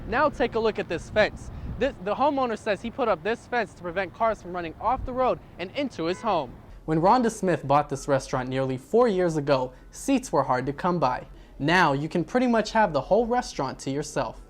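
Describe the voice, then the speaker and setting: A man's voice: high-pitched, expressive, fairly quick, a little hiss or hum. A man working as a television news reporter, delivering scripted reports and stand-ups on location.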